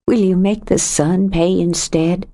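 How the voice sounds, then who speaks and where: Narrator voicing a steady, fond, blunt woman; low voice, unhurried. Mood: earnest. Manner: calm and firm, gently blunt. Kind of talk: audiobook character reading.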